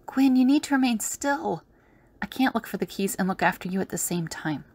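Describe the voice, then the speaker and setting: A woman's voice: medium pitch, expressive, a little fast, darkish tone, natural voice. A woman voicing a bossy, exasperated woman adventurer in an audio drama, speaking with urgency. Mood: scolding. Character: Ali, brisk exasperated woman adventurer on a mission.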